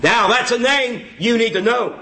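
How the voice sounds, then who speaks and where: A man preaching an informal sermon in a church, folksy and self-mocking, repeating his phrases and exclaiming loudly. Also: extremely high pitch, moderate pace.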